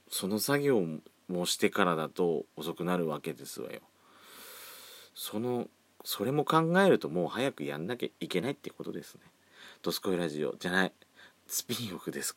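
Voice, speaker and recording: A man's voice: low-pitched, expressive, a little fast, a bit bright, dry recording. A man around forty hosting a casual solo podcast, chatting with campy, feminine phrasing. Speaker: podcast host. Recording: faint background music.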